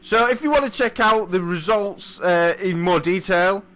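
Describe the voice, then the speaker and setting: A man with a hoarse, croaky voice commentating a replay of his own sim race, chatty and often apologising and correcting himself. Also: high voice, moderate pace, pushed and bright. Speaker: sim racing commentator and driver.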